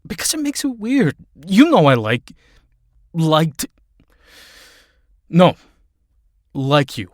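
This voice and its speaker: An actor playing a grieving young superhero in an audio drama, earnest and halting in his delivery. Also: fairly high pitch, huge pitch swings, no echo, clean studio sound, heavily acted. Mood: awkward, earnest. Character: conflicted grieving young male superhero team leader.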